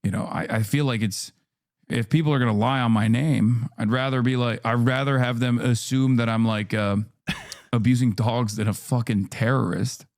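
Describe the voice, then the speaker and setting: A man commenting casually, pausing and restarting as he talks. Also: mid-range voice, fairly even intonation, no echo, clean studio sound. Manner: halting, hesitant phrasing.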